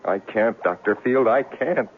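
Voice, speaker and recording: An actor in a 1970s radio drama playing an earnest, discouraged young amateur genius, halting and melodramatic, repeating himself. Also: male voice, mid-range voice, expressive, close to an everyday voice. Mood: dogged, worn. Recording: tinny, noisy old radio broadcast recording.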